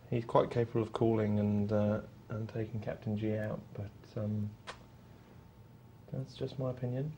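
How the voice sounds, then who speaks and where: A man's voice: on the low side, creaky, mellow, slight background noise. A man co-hosting a live poker show, commenting on hands and bantering with a dry, self-deprecating humour.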